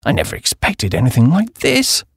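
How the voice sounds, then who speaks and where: A man voicing a flighty young upper-class English gentleman, breezy and exclamatory, with a posh British accent. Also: mid-range voice, wildly swooping pitch, normal speed, creaky, husky, no room sound, full character voice. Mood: alarmed, dismayed.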